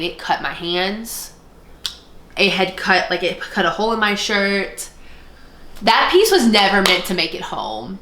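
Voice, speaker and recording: A woman with a bright, crisp-edged voice tells personal stories in a loose, conversational way. She slips into quoted remarks as she goes. The room around her is noticeably echoey. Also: mid-range voice, a little hiss or hum. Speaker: podcast co-host, former art student. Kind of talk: casual chat, animated personal storytelling. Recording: roomy, echoey sound.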